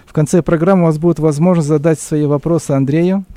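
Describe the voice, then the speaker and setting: A man hosting a live radio talk show with a formal, measured announcer delivery, speaking into a studio microphone. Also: fairly high pitch, steady tone, warm tone, slight background noise. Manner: measured, announcer-like reading of numbers.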